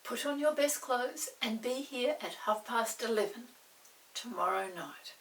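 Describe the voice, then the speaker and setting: A woman's voice: a little high, lively intonation, a little slow, fairly bright tone, reverberant, recorded from a distance, rough recording. A woman voicing a merry, gushing male pixie in a children's storybook. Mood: admiring.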